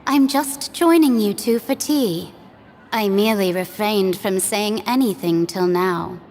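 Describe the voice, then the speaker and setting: A woman voicing a calm, mysterious woman guide in a video game, speaking unhurried with a playful edge. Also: medium pitch, slight background noise, lightly acted. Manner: unhurried, softly teasing. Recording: long hall reverb.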